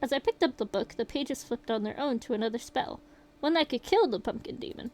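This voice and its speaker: A woman voicing a shaken young survivor, reading the account aloud in a steady, rueful way. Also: a little high, fairly quick, lightly acted. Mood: grim retelling. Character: shaken young survivor recounting a horror night.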